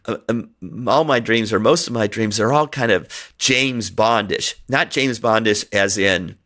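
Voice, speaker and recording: A man's voice: fairly low pitch, a little slow, bright, forceful tone. A middle-aged man whose first language is Hungarian, talking in a rambling, chatty way on his own podcast and laughing often. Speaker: podcast host. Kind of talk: casual solo podcast monologue.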